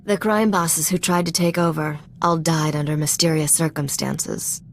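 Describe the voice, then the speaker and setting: A woman's voice: low voice, fairly even intonation, normal speed, creaky, a little hiss or hum. A woman playing a sly, self-assured treasure hunter and ship mechanic in a video game, dry and teasing. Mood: wry, confident. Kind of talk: video game cutscene acting.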